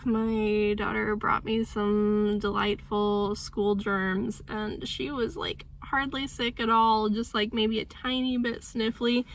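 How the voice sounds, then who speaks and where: A woman's voice: a little high, poor audio. A woman in her thirties vlogging casually about her writing, sick and congested, with a voice that is going, rambling and thinking aloud. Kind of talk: casual vlog chat.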